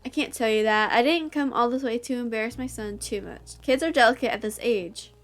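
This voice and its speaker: A woman's voice: fairly high pitch, a little fast, faint music behind, natural voice. A woman with a stuffy nose reading the lines of a friendly, easygoing mother of a teenage boy in a visual novel, lightly teasing. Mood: breezy.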